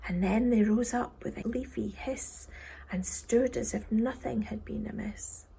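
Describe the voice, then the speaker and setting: A woman reading a children's poem expressively, with a theatrical manner that dips into hushed tones. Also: fairly high pitch, unhurried, gravelly, slightly rough. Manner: theatrical, dropping into hushed whispers. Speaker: story reader.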